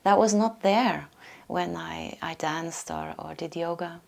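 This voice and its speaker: A middle-aged woman from Norway with a Norwegian accent, a yoga teacher speaking softly and thoughtfully in a portrait interview, pausing to find her words. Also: lowish voice, creaky. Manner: soft-spoken, thoughtful, pauses to search for words. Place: yoga retreat.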